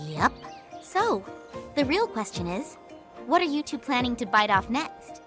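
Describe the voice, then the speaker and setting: Sassy, lively game catgirl innkeeper. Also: a little high, music in the background. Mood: teasing. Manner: teasing, wry, brisk. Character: sassy, bossy female innkeeper catgirl, older than she looks. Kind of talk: animated video game cutscene acting.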